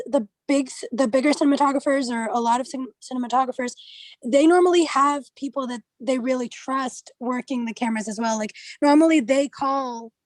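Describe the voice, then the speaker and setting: A woman's voice: high-pitched, dry recording, low-quality recording. A woman talking casually as a student in a group discussion.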